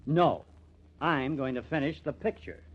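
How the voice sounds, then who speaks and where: An actor in an old-time radio crime drama playing a bitter, sardonic newspaper artist who has turned murderer. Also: male voice, medium pitch, unhurried, loud music behind the voice, natural voice. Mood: stern, tense. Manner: sardonic, menacing. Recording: muffled vintage radio recording.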